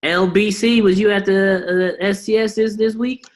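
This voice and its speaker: A man's voice: sits high, fairly even intonation, moderate pace, slight background noise. A man hosting a team video call, talking in a loose, slangy way and firing off rhetorical questions to motivate the group.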